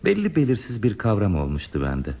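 A solitary, gentle recluse in a radio drama, with a low, soft-edged dark voice. He speaks hesitantly and politely, as if thinking each phrase through. The recording is dull and muffled. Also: wildly swooping pitch, fairly quick, gravelly, exaggerated voice. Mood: pensive. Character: lonely, gentle hermit man living in a remote place. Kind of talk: Turkish radio theater acting.

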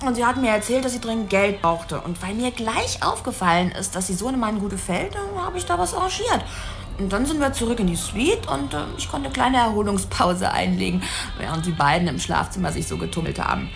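Brash, high-voiced streetwise call girl. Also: very animated, a little fast, creaky, soft background music. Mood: crude storytelling. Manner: slangy, drawling, offhand frankness. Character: brash, streetwise young female call girl, crude and cagey. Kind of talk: dramatic radio play dialogue.

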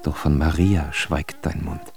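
An actor playing a brooding voice that recites a poet's verse in a German radio drama. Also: male voice, a bass voice, steady tone, unhurried, gravelly, darkish tone, soft background music, exaggerated voice.

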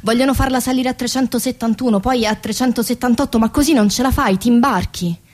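A woman's voice: on the high side, fast, darkish tone, natural voice. Actress as a weary female factory worker, clipped phrases. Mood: tense, wry. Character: weary female car factory assembly-line worker telling her story. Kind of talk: radio theatre monologue.

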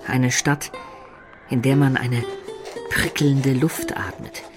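A man's voice: medium pitch, steady tone, slow pace, close to an everyday voice. An actor playing a medieval adventurer who recounts his student youth with wry, ornate storytelling in a literary radio drama. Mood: wry, reminiscing. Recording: studio radio drama with music beds.

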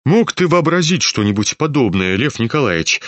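A man's voice: medium pitch, very animated, normal speed, dry recording, close to an everyday voice. A man voicing a flustered elderly general and father in a storybook reading, stammering and fussy.

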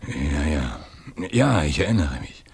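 An actor playing a troubled, probing middle-aged father in a radio drama, with muted, halting questions. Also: very animated, heavily acted. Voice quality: husky, low. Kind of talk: 1980s German radio drama acting.

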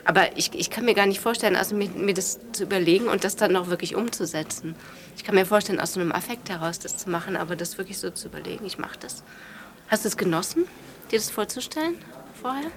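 A woman's voice: medium pitch, quick pace, natural voice. Actress as probing, musing mid-voiced woman questioner. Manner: musing, repeats and rephrases, informal. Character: probing, fascinated woman questioning a confessed killer. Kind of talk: naturalistic improvised radio drama interview.

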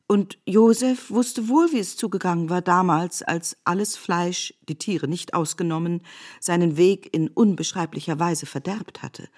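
A woman giving a measured, scripted literary narration in an essayistic manner for a radio production. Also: on the low side, a little mellow.

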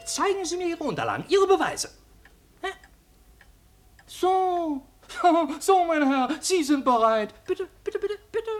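A woman playing a tiny, irascible old shopkeeper in a radio play, with a thin, sharp voice and pompous, indignant speech. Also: extremely high pitch, sing-song, slow, faint music behind, exaggerated voice. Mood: indignant, taunting. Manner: indignant, theatrical, pompously formal address. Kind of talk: German radio play acting.

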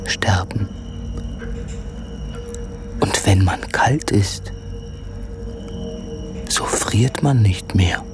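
A brooding, tormented man in a literary radio drama. His lowish voice moves extremely slowly, in halting phrases that often sink into a whisper. Music plays behind him. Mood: menacing, tender.

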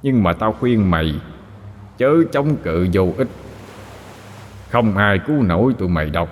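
A man with a Southern Vietnamese accent voicing a cruel, mocking male water demon in a low, taunting, drawn-out voice. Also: animated, big-room sound, slight background noise, close to an everyday voice.

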